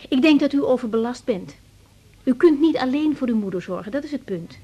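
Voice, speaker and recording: An actress playing a reasonable young family doctor in a radio drama, patient and measured with some hesitation. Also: female voice, sits high, expressive, mellow, husky, lo-fi sound, full character voice. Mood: firm, reasoning. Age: young adult. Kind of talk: 1980s Dutch radio drama acting.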